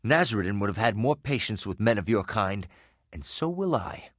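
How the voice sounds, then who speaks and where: A man's voice: on the low side, big pitch swings, normal speed, a bit bright, dry recording, clean studio sound. An actor playing a hot-headed, devout young man in a video game, speaking with earnest, dramatic indignation. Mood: indignant. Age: young adult.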